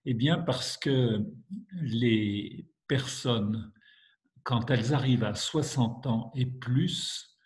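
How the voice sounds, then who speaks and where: A French man giving a measured academic lecture with many hesitations, stuffy from a head cold and coughing at times. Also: medium pitch, darkish tone. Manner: measured, hesitant with frequent fillers. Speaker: university lecturer.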